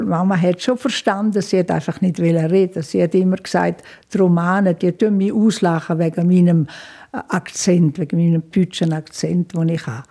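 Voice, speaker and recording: An older woman with a Swiss German accent speaks slowly and reflectively, answering interview questions about her youth. Her voice is mellow and warm in tone, with occasional soft laughter and hesitant fillers. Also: lowish voice. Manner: thoughtful, frequent fillers, laughs softly at times. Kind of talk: reflective life-story interview.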